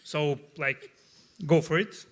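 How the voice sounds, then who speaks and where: A startup founder with a Russian accent speaking on a live panel, halting and searching for words with many fillers. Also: male voice, a little high, level tone.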